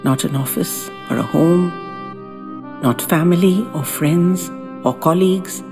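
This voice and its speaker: A woman tells a story in a lowish voice, taking her time over each phrase. Her delivery is wistful and theatrical. The recording carries a long reverb, with music underneath. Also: measured pace. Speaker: audio story narrator. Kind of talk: dramatic first-person storytelling narration.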